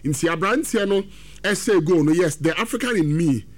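A man with a Ghanaian accent hosting a football talk segment, animated and switching between English and Twi. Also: a little high, moderate pace. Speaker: sports radio host.

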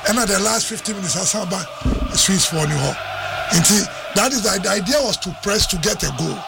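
A Ghanaian football pundit breaks down the match in a gravelly voice that sits fairly high. The broadcast is noisy behind him. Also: male voice, expressive, fairly quick. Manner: explaining tactics, mixing Twi and English. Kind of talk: radio match analysis.

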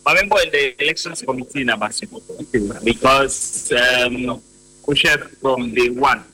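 A man, an elections committee member, giving halting answers in an interview. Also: mid-range voice, bright, forceful tone, slightly rough, no room sound, rough recording. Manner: halting, searching for words.

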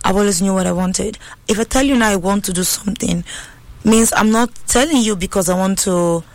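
A woman's voice: medium pitch, brisk, no echo, poor audio. A woman with Italian as her first language and a Nigerian-tinged English accent, a guest musician chatting playfully and confidently in an interview, laughing often. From Italy. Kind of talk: casual interview conversation.

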